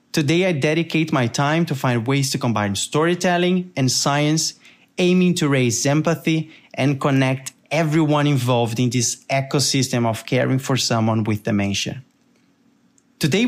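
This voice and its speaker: A young man with a Brazilian accent, hosting a podcast in an earnest, measured scripted narration. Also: mid-range voice. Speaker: podcast host.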